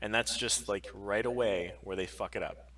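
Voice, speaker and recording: A man's voice: on the low side, normal speed, a bit bright, recorded from a distance, rough recording. A man co-hosting a casual pop-culture live stream, talking in a sweary, enthusiastic, geeky way. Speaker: podcast co-host on a live stream.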